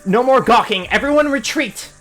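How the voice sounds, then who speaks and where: An actress playing a proud, fierce woman vizier of poisons in a fantasy audio drama, forcefully calling out. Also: on the high side, expressive, moderate pace, faint music behind, lightly acted. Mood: urgent, commanding.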